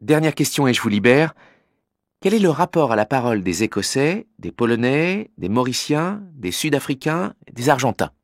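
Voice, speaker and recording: A young male journalism student, played by a man puts formal, scripted questions politely. The voice has an average pitch and is recorded dry, as for a language-course interview. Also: very animated, normal speed, no room sound. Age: young adult.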